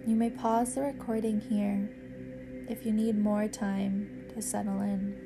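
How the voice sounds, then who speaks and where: A woman guiding a yoga nidra meditation in a measured, soothing, instructional way with pauses. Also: medium pitch, flat delivery, vocal fry, over music. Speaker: yoga nidra meditation guide and podcast host. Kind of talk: guided meditation narration.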